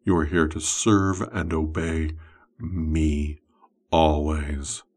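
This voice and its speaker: A commanding wizard, put on by a man, who speaks in stern, possessive orders. The voice sits very low and comes out husky and unhurried. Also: little pitch movement, darkish tone, exaggerated voice.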